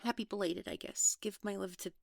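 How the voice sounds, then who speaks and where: Woman playing a defensive, gravelly-edged young woman. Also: mid-range voice, steady tone, a little hiss or hum, natural voice. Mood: evasive, anxious. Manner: halting, exasperated, conversational. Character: defensive, anxious young woman, casual and evasive. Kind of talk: audio roleplay drama.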